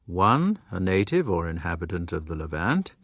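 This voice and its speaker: A man reading dictionary definitions from a script in a formal, deliberate manner. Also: low-pitched, very animated, a bit bright, no room sound, clean studio sound.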